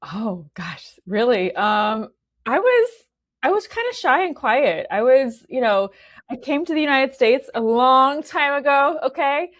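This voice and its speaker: A woman's voice: high voice, sing-song, unhurried, a bit bright, no room sound, lo-fi sound. A woman in her forties from Romania, talking casually as an interview guest, restarting sentences and tagging them with "okay?". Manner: chatty, many restarts and "okay?" tags.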